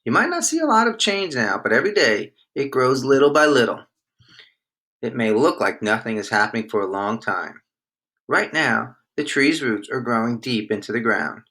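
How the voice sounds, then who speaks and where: A man's voice: medium pitch, very animated, normal speed, pushed and bright. A man reading the part of a kindly young dad in a storybook, encouraging his children as he explains things. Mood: gentle, encouraging.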